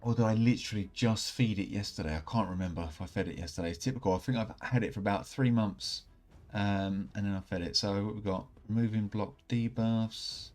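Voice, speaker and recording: A man, slightly croaky from a cold, chatting casually and rambling as he opens game packs for his channel. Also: fairly low pitch, fairly quick, a little mellow, soft background music. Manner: chatty, upbeat, rambling with many fillers. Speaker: gaming channel host. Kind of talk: casual commentary while opening game packs.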